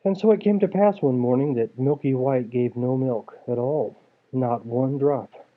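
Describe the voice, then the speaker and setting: A man's voice: medium pitch, a little slow. A man reading a story aloud to a child, expressively, with casual asides. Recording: dull lo-fi home recording.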